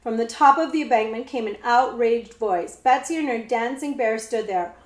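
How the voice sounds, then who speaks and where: Echoey, higher-voiced woman reading a story. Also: moderate pace. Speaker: librarian reading aloud. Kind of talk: expressive storybook narration. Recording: echoey room.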